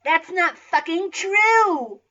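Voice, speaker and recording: A woman's voice: high voice, measured pace. A woman voicing a fiery young student revolutionary, declaiming indignantly and swearing in a comic story reading. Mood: outraged, defiant. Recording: dull lo-fi audio.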